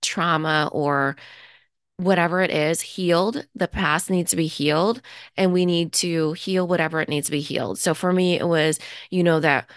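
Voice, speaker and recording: A woman with a fairly low voice talks through her podcast in an earnest, reflective way, stopping now and then to restart a thought. She sounds slightly stuffy, as if fighting a cold. The recording is close and dry, with no room sound. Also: fairly even intonation, moderate pace, no room sound. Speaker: podcast host. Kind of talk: reflective solo podcast talk.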